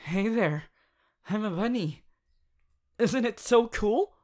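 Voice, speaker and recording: Young male rabbit hero, acted by a voice actor, playful, anxious, hesitant, slow, lively pitch, audio roleplay.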